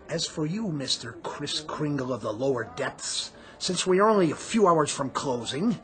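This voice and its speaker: An actor playing a stern, officious department store manager in a radio drama, pompous and sarcastic. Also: male voice, on the high side, lightly acted.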